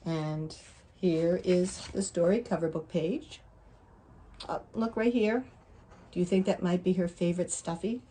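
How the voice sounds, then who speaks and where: A woman reading a picture book aloud to young children in an expressive, deliberate way. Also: fairly low pitch, slight background noise. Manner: deliberate, pausing to ask listeners questions. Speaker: children's story reader.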